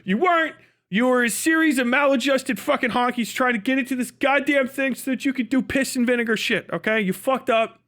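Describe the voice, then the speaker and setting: An American man with a notably high voice delivers an off-the-cuff livestream rant. He is blunt and sarcastic, swears freely, and hammers his points with emphatic phrasing and mock dialogue. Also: a little fast, bright, forceful tone. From United States. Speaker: livestream commentator.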